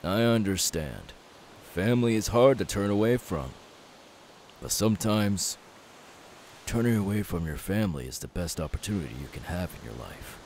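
A kindly, self-assured young pirate played by a man speaks low and unhurried in an ASMR audio roleplay. He is soft-spoken and reassuring, with a faint hiss behind him. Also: expressive. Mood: earnest.

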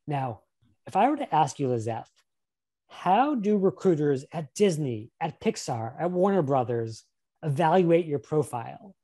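Man, career workshop presenter on a webcam, lively pitch, mellow tone, upbeat and encouraging. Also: unhurried. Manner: upbeat, encouraging, asks questions. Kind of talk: live webinar presentation. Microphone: webcam on a video call.

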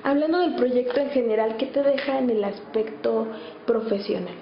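A woman's voice: fairly high pitch, normal speed. A woman with a Mexican accent presenting and asking questions as an interviewer. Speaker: interviewer and reporter. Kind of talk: interview questions and scripted introductions. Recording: echoey, muffled, faint noise.

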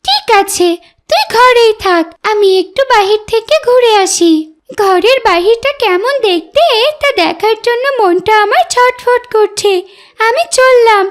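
Little girl duckling, extremely high voice, childlike, headstrong, pouty, naive cartoon character. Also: animated, a little slow. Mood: eager, coaxing. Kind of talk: animated fairy-tale voice acting.

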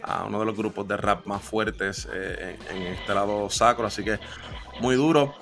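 A man's voice: fairly low pitch, steady tone, moderate pace, creaky, a bit bright, faint music behind. A young man hosting an interview with upbeat, friendly, slang-laced talk. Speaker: host. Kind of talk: casual interview. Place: home studio with shelves.

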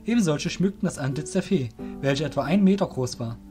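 Male storyteller for fantasy audio drama, lightly high register, lively melody, soft music bed. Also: a little fast. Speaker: narrator.

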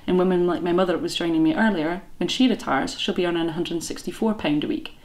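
A woman in her thirties speaking pointedly and deliberately to camera in a living room. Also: lowish voice, fairly even intonation, darkish tone.

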